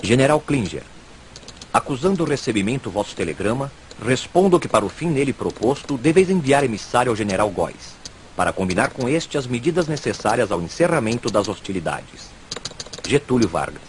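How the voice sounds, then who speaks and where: Commanding Brazilian head of state acted by a man, formal emphatic dictation, very varied pitch, typing behind, documentary. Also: very animated, fairly quick, close to an everyday voice. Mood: formal, firm. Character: commanding male head of government dictating telegram reply. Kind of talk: dramatized reading of a historical telegram. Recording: faint noise with typing sounds.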